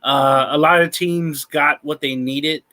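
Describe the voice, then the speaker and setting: A man co-hosting a sports talk show, recapping news in a steady, methodical way with many fillers. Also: on the high side, takes it slowly. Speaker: podcast co-host.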